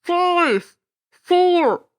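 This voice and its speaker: A woman voicing a booming loudspeaker announcer in an audiobook, dramatically counting down. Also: animated, extremely slow, exaggerated voice. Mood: dramatic countdown.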